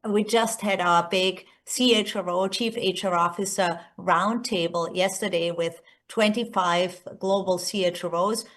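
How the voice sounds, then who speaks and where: A woman's voice: on the low side, fairly even intonation, a little slow, hardly any pauses, a bit bright. A woman from Austria with an Austrian accent, a native German speaker, giving a semi-scripted conference keynote as a research executive. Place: remote presentation by screen share.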